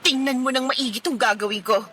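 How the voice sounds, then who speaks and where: A woman's voice: sits high, fairly quick, sharp tone, faint music behind. A woman playing a jealous, furious young girlfriend in a melodramatic radio drama, confrontational, sarcastic and tearful.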